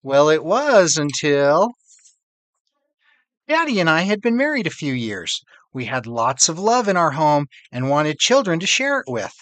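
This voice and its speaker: A man plays a loving storybook mom sharing fond memories with her child. The phrasing is tender and drawn out, swinging widely in pitch, in a dry recording. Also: sing-song, measured pace, fairly bright tone, dry recording. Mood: fond, joyful. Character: loving mother fondly recalling happy times to her child.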